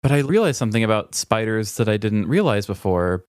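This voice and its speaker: A man's voice: fairly low pitch, big pitch swings, fairly quick, a little mellow, no echo, studio quality. An American man co-hosting a podcast in casual comedic chat, with a playful, mock-serious way of talking. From United States. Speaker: podcast co-host.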